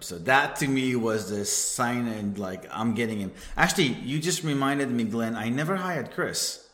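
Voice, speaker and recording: A middle-aged man from Israel with an Israeli accent, a guest in a casual podcast chat who talks in a lively, emphatic way. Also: mid-range voice.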